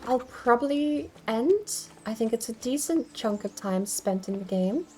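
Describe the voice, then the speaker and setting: A woman's voice: a little high, lively intonation, measured pace, darkish tone. A woman giving casual livestream game commentary, rambling and thinking aloud as she plays. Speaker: game streamer.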